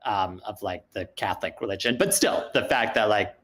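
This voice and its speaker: A man's voice: lowish voice, a little fast, fairly bright tone. A man giving spoken script feedback in a group discussion, speaking hesitantly and circling back to rephrase his points.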